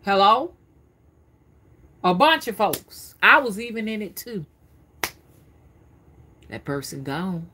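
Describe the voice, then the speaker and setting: A woman's voice: medium pitch, very animated, takes it slowly, pauses often, pushed and bright, slight background noise. A woman in her fifties talking casually on a live stream, rambling and often repeating her words as she goes. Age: 50s. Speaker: spiritual reader streaming live.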